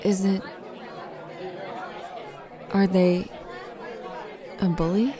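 A woman playing a slow-spoken, protective girlfriend. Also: mid-range voice, flat delivery, crowd noise. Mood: concerned. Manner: speaks straight to the listener as "baby". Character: fiercely protective, loving young girlfriend. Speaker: roleplay audio performer. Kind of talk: girlfriend roleplay audio.

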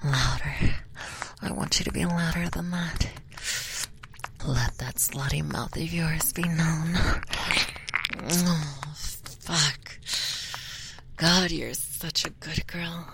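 A woman in an intimate audio roleplay, speaking in a soft, breathy whisper that stays slow and close. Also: sits low. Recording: steady background hiss.

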